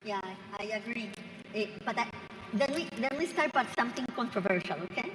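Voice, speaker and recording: A woman's voice: fairly high pitch, lively intonation, brisk, slight background noise. A woman from Rica, speaking emphatically and off the cuff as a conference panelist. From Costa Rica. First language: Spanish. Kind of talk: impromptu panel discussion. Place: large conference hall. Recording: distant mic in echoing hall.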